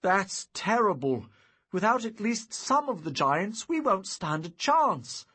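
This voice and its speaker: A man's voice: mid-range voice, huge pitch swings, unhurried, slightly rough, heavily acted. A man playing an earnest young wizard with a theatrical streak in an audiobook story, speaking emphatically and dramatically. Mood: alarmed.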